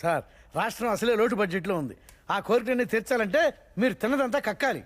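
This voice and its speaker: High, hurried male government aide. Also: expressive, big-room sound. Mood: worried. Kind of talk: Telugu comedy film acting.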